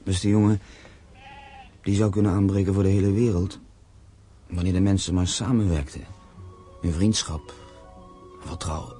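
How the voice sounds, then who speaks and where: A man's voice: low voice, steady tone, moderate pace, pauses often, dark tone, soft background music, lightly acted. A man acting in a Dutch radio drama as a proud, grieving castle owner, speaking solemnly and emphatically. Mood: resolute, idealistic.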